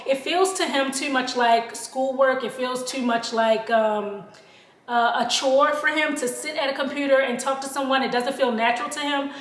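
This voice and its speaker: A woman's voice: high-pitched, fairly bright tone, reverberant. A young American woman vlogging casually while she cooks at home, chatty and friendly. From United States. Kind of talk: casual vlog talk while cooking. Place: home kitchen.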